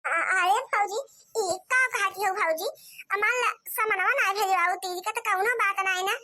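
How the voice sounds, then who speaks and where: Woman voicing a very high, bright, meek daughter-in-law. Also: normal speed. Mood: pleading. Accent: Awadhi. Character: long-suffering village daughter-in-law, meek and dutiful. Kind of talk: cartoon comedy dialogue.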